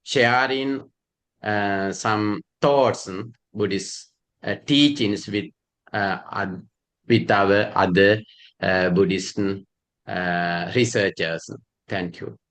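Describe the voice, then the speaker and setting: A man from Sri Lanka introducing himself as a panelist on a video call, halting with many hums and fillers. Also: lowish voice, fairly bright tone. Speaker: panelist, university lecturer.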